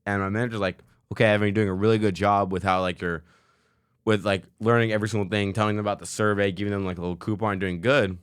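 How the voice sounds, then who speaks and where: A young man co-hosting a casual chat, talking firmly and assertively in a deep voice. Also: level tone, clear, resonant tone, no room sound, clean studio sound. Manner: fast, firm, assertive talker. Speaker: podcast co-host.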